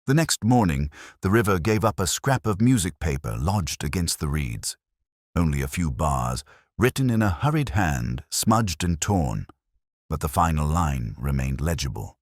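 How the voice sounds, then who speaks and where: A man narrating a scripted first-person detective story in a measured, brooding, literary manner. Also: rumbling low, big pitch swings, no echo, clean studio sound.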